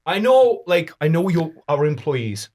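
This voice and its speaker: A man's voice: a little high, sing-song, a little slow, a little mellow. A man who is a non-native English speaker, hosting a podcast with emphatic, dramatic, profane storytelling. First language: not English. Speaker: podcast host. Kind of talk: casual, profane podcast chat.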